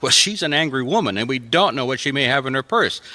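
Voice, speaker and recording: A man's voice: mid-range voice, expressive, fast, bright, forceful tone. A man playing a wry, protective private detective for hire in a radio mystery drama. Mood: wary, brisk.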